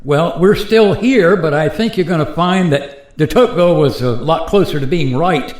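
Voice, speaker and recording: A man's voice: on the high side, moderate pace, a little mellow, lightly acted. A man playing an easygoing modern airline pilot in a radio play, talking in a casual, explanatory way. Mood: skeptical, casual. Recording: roomy, echoing sound.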